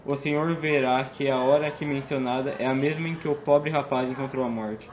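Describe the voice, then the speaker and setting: A man's voice: medium pitch, fairly even intonation, brisk, muffled recording, a little hiss or hum, natural voice. A Brazilian man voicing a serious, methodical police inspector in a student radio drama, laying out facts steadily. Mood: reporting. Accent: Brazilian Portuguese.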